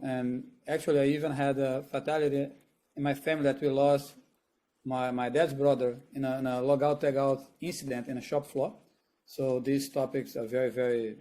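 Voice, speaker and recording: A man's voice: mid-range voice, mellow. A man with a strong Brazilian accent, introducing himself informally on a webinar, often repeating words and restarting phrases. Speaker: webinar presenter, product executive.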